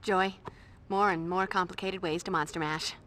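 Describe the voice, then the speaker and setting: A woman's voice: lowish voice, steady tone, moderate pace, a bit bright, slight background noise, lightly acted. An actress playing a tough young female vampire slayer who talks in a dry, sarcastic, commanding way. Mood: wry. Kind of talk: video game voice acting.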